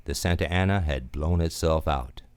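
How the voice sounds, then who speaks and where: An actor playing a world-weary, laconic private detective in 1940s radio noir style. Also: male voice, rumbling low, moderate pace, darkish tone, smooth. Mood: somber, resigned. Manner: laconic, clipped, understated. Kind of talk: 1940s radio noir acting, first-person narration. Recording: radio play with guitar music behind.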